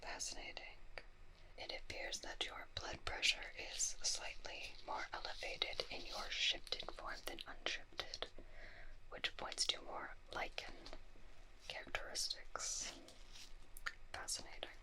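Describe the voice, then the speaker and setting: A fascinated, clinical doctor played by a woman in a fantasy ASMR roleplay. The voice stays in a whisper and moves very slowly, murmuring methodical observations and careful questions to the patient. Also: close to an everyday voice, low-quality recording. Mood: calm, clinical. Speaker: ASMR performer playing a doctor.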